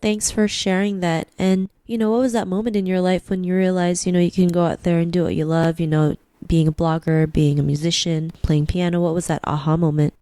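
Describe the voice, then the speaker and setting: A woman's voice: on the low side, flat delivery, brisk, hardly any pauses, warm tone, no echo. A woman hosting an interview podcast, upbeat and chatty, often saying "you know" and "right". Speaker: podcast host. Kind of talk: casual interview chat.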